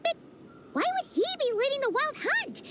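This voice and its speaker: A squeaky little companion sprite. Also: female voice. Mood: eager. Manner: high, quick and excitable. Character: tiny floating female companion, eager and chatty.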